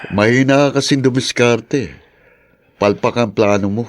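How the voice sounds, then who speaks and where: A man's voice: medium pitch, unhurried, no echo, clean studio sound, natural voice. A man with a Filipino accent playing a vengeful, accusing brother in a radio drama, stern and threatening. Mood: accusing, menacing. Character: menacing, vengeful male political rival, accusing brother.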